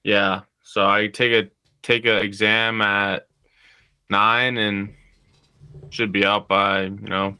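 A young man chatting on a podcast, relaxed and laid-back with short answers. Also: fairly low pitch, slow pace, pauses often, smooth, no room sound. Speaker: podcast co-host, student. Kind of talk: casual chat.

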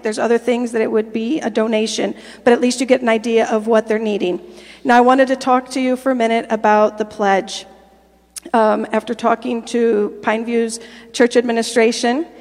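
A woman addresses a congregation directly, using plain speech with the odd filler. Her voice sits a bit high. It echoes through a large church hall. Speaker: pastor's wife and interpreter. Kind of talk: church announcements and scripture reading. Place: church sanctuary.

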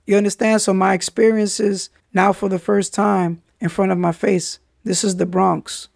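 A man's voice: sits high, little pitch movement, moderate pace, gravelly, darkish tone, no echo, clean studio sound. A man, hosting a podcast and telling his life story candidly in street slang, often saying "yo" and "you understand?". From New York City. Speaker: podcast host.

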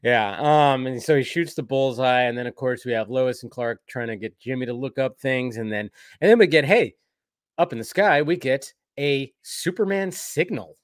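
A man's voice: mid-range voice, normal speed, no room sound. Rambling man in casual, dry-sounding chat. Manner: rambling, peppered with "like" and "you know". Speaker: co-host of a cartoon episode review stream. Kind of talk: casual chat, episode recap and commentary.

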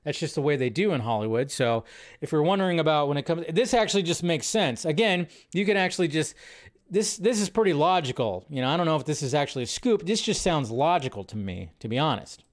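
Higher-voiced man chatting movie news. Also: animated, quick pace. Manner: informal, chatty, thinking out loud. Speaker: host of a live movie news show. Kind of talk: casual rambling fan news commentary.

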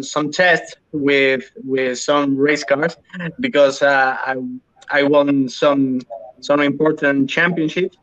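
A man from Spain with a Spanish accent, a podcast guest answering interview questions in halting English with many restarts. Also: fairly high pitch, a bit bright, dull audio. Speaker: podcast guest, sim racing driver.

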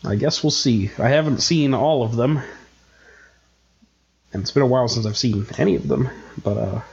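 A man's voice: medium pitch, normal speed, gravelly, a little mellow, slightly rough. Stuffed-up nasal man, dull lo-fi sound. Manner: rambling, self-mocking, many fillers. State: stuffed up with a cold. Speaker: podcast co-host. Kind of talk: casual chat.

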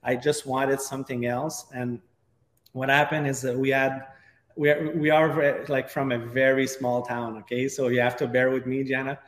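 Middle-aged male podcast guest, Quebec French accent, halting conversational delivery, heavily echoing room. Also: medium pitch, level tone, slight background noise. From Quebec, Canada. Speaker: podcast guest, real estate agent. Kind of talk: conversational interview storytelling. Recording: echoey, reverberant room.

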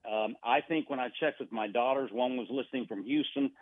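A man's voice: mid-range voice, brisk, no echo. Rambling man on a tinny phone line. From Argentina. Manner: rambling, with many restarts and fillers. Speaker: radio guest. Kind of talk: casual radio chat.

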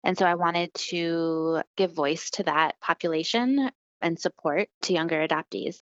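A woman from Chile with a Midwestern American accent, an author answering interview questions in an earnest, warm storytelling way. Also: on the low side, a little slow, no echo, dull audio. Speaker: author guest.

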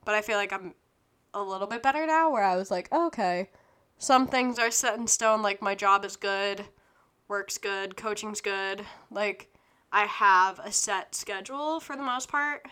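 A young woman in her early twenties with a bright, clear tone, talking alone into her podcast mic. She rambles and keeps restarting her sentences, loose and chatty. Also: medium pitch. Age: early 20s. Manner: scattered, self-interrupting, chatty. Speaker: podcast host. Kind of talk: rambling solo podcast chat.

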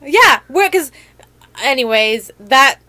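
A woman's voice: sits high, lively intonation, slow, bright, forceful tone. A woman with a Canadian accent, co-hosting a podcast in playful, laughing casual chat. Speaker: podcast co-host.

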